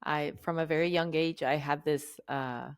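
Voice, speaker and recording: Argentine woman facilitator in her forties, lower register, chatty guest. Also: fairly even intonation, moderate pace, clear, resonant tone. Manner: chatty, jokey and laughing easily. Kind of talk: conversational interview.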